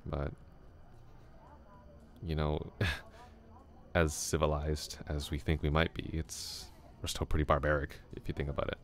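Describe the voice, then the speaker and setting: A chatty young male classmate , voiced by a man for an ASMR roleplay, with an extremely deep, low voice. He rambles softly and thoughtfully, musing aloud to the listener. Small chuckles slip into his talk. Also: flat delivery, a little slow, long pauses.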